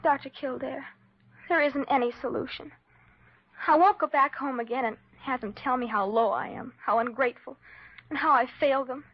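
An actress playing a miserable, ashamed teenage girl in a 1950s radio drama, speaking in a hesitant, plaintive, breathy way. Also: high voice, normal speed. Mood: despairing. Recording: dull lo-fi 1950s radio recording.

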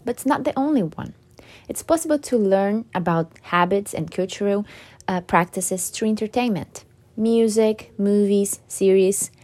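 A woman, a student hosting her own podcast and reading prepared remarks in a careful, measured way. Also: medium pitch, darkish tone. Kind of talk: scripted podcast talk.

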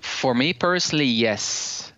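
A man's voice: medium pitch, lively intonation, a little slow, pushed and bright, no echo, muffled recording. A man talking as a guest language teacher in a casual podcast conversation. He explains his views at length and thinks aloud.

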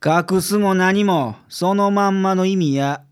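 A man's voice: high voice, takes it slowly, clean tone. A man playing a blunt Kansai private detective. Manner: blunt, terse, dismissive. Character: gruff local private detective, middle-aged man.

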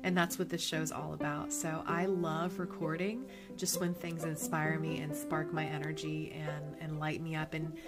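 A woman's voice: sits low, flat delivery, normal speed, hardly any pauses, loud music behind the voice. Chatty upbeat woman podcast host. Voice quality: nasal. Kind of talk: casual motivational monologue.

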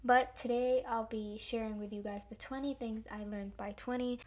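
Young woman podcast host, bit hoarse from being sick, talks right to her listeners, emphatic, casual. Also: on the high side, fairly even intonation, normal speed, a little mellow. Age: young adult. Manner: earnest, emphatic, addresses listeners directly. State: slightly hoarse while recovering from illness. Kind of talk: casual solo podcast advice talk.

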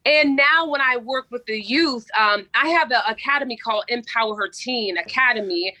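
Energetic woman coach on an interview, bright voice, stresses her points. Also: fairly high pitch, normal speed. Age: 40s. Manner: energetic, emphatic, storytelling. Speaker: guest, women's coach. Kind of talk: interview conversation.

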